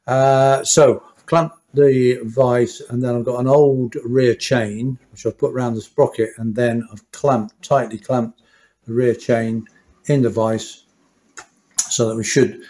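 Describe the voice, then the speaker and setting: A man's voice: medium pitch, steady tone, slow pace, warm tone. A middle-aged or older man, talking casually and wryly as he demonstrates mechanical work in a small workshop. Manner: chatty, self-deprecating, wry asides. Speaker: motorcycle mechanic and host. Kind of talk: casual hands-on workshop tutorial. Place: small indoor workshop at a workbench.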